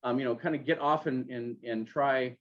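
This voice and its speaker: A man hosting an interview, asking questions in a halting way with many restarts. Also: medium pitch, steady tone. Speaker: podcast host. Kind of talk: casual interview. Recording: dull lo-fi sound.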